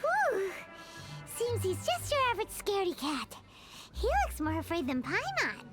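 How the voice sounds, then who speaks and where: Squeaky, excitable little fairy sidekick. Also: female voice, normal speed, full character voice. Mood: matter-of-fact. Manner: squeaky childlike, dramatic, refers to self by name. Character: tiny floating fairy companion, jumpy, bossy, talks in third person. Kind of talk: English video game voice acting. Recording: loud game music behind.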